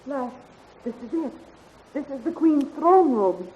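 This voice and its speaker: An actress playing a spirited, teasing young heroine in a 1930s radio adventure serial. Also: female voice, sits high, animated, a little slow. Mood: awed, teasing. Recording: muffled, hissy 1930s radio recording.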